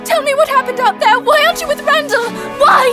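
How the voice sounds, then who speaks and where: Extremely high, frantic young woman. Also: brisk. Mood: frantic, anguished. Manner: urgent, pleading. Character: frantic, distraught young woman desperate for news. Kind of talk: animated game cutscene acting. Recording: music behind the voice.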